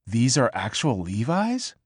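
A man's voice: medium pitch, wildly swooping pitch, a little slow, slightly rough, no echo, clean studio sound, heavily acted. A man doing a hesitant, flustered young woman. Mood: amazed. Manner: hesitant, questioning. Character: unsure young woman, new at work, easily flustered. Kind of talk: audiobook character voice.

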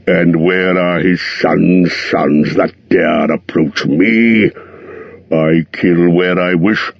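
A man voicing an ancient, huge, boastful male dragon, slow and gloating. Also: low-pitched, vocal fry, breathy edge, no room sound, full character voice. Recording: dull lo-fi sound.

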